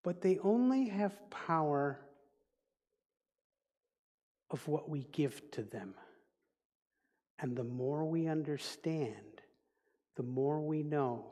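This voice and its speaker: Slow-speaking Dutch-accented man preaching. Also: fairly high pitch, animated, dark tone. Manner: slow, deliberate, reflective teaching. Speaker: minister. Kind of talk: sermon. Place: church sanctuary. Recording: long reverb of a large hall.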